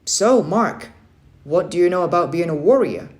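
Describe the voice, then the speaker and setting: A woman's voice: fairly low pitch, lively intonation, normal speed, a little mellow, natural voice. A woman voicing a stern, motivating young Navy uncle in a children's story read-aloud, firm and emphatic. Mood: firm, matter-of-fact.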